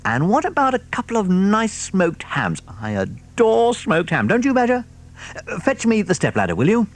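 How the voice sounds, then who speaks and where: A man reading a storybook, voicing a proud, sly male fox in a high, gleeful, theatrical voice. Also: wildly swooping pitch, moderate pace, exaggerated voice. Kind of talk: audiobook character voice.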